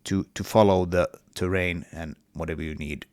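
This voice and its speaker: A man's voice: low-pitched, fairly even intonation, normal speed, darkish tone, dry recording. A man with a cold and a partly lost voice, talking casually through his game level in a hesitant devlog with many fillers. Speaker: indie game developer.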